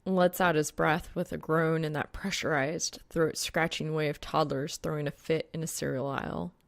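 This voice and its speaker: A woman's voice: low-pitched, little pitch movement, normal speed, gravelly, dry recording. A woman reading scripted fan fiction as an audiobook narrator.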